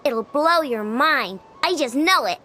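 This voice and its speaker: Cocky, high-pitched kid inventor. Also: female voice, lively intonation, a little slow, sharp tone, heavily acted. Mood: proud, eager. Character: confident young girl inventor, spirited and stubborn. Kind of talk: anime-style video game voice acting.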